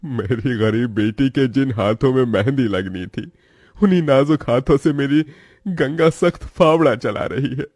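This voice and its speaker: A man's voice: mid-range voice, unhurried, a little mellow, dry recording, clean studio sound, lightly acted. A man playing a poor old farmer in a cartoon moral story, a worried father who speaks in a humble, plaintive, lamenting way. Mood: anguished lament.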